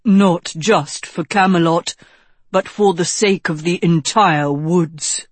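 An elderly wizard as a woman narrator plays him speaks slowly and gravely, instructing and questioning. He is a shrewd mentor in a fantasy storybook, recorded dry and close. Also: on the low side, no room sound. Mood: troubled, grave. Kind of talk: audiobook fantasy character voice.

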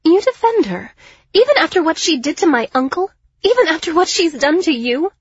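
A woman narrator plays an imperious young noblewoman for an audiobook, pitched well up. She speaks formally and condescendingly, with wounded pride. The recording is slightly dull. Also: a little slow, husky, dry recording. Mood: accusing.

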